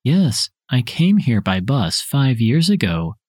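A man reading his parts in a scripted dialogue for a language listening test, with careful, even delivery. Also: mid-range voice, slow, dry recording, clean studio sound.

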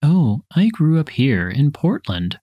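A man reading his parts in a scripted dialogue for a language listening test, with careful, even delivery. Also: on the high side, measured pace, dry recording, dull audio.

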